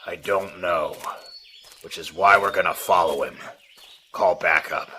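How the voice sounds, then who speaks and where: Man acting a very sharp, deep-voiced vengeful detective. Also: a little slow. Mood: wary. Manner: intense, determined. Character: grieving, vengeful male police detective. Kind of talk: amateur radio drama acting. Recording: faint background noise.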